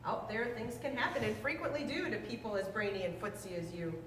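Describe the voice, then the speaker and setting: A woman reading a children's rhyming book aloud in a lively, sing-song way. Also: fairly high pitch, a little fast. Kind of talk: read-aloud storytelling. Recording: roomy, distant mic, faint noise.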